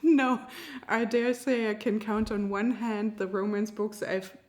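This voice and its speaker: A woman's voice: mid-range voice, fairly even intonation, a little slow, darkish tone. A woman, an amateur writer, chatting casually about her own writing and often laughing as she talks. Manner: giggly, laughs often while talking.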